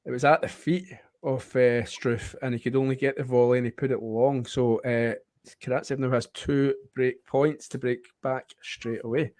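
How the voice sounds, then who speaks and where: A man's voice: mid-range voice, fairly even intonation, moderate pace, a little mellow, no echo. Scottish man calling tennis, dry close sound. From Scotland. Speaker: tennis commentator, co-host. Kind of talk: live play-by-play watchalong commentary.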